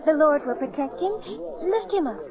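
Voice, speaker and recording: An actress playing a gentle but resolute young mother in a dramatized audio Bible, earnest and insistent. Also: sits high, lively intonation, moderate pace. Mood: resolute, urgent. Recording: muffled cassette with crowd behind.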